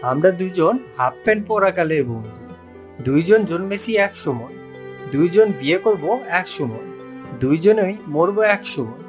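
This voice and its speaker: Comic cartoon groom, played by a man: young, hapless, exclamatory animal character, slightly high voice, music underneath. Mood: boastful. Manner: melodramatic, exclaiming, lamenting. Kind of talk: exaggerated cartoon voice acting.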